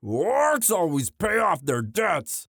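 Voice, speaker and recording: A man voicing a bashful, devoted himbo orc boyfriend in a romantic audio roleplay, halting and stammering sheepishly. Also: high voice, wildly swooping pitch, fairly bright tone, breathy edge, no room sound, clean studio sound, heavily acted. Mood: sheepish, eager.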